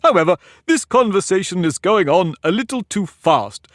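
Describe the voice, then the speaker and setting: A man's voice: sits high, animated, normal speed, no room sound, clean studio sound, pitched above the performer's own voice. A man voicing a pompous, touchy talking egg in a storybook reading, boastful and argumentative. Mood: lordly. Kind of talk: audiobook character reading.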